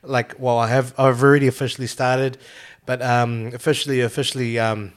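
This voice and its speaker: A man's voice: medium pitch, flat delivery, brisk. A man, raised there, hosting a casual podcast from home and rambling with lots of fillers and restarts. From New Zealand. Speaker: podcast host.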